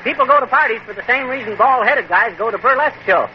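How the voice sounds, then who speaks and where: A brash, wisecracking husband. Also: male voice, very high voice, animated, quick pace, highs cut off. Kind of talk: 1940s radio comedy acting. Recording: hissy old radio broadcast recording.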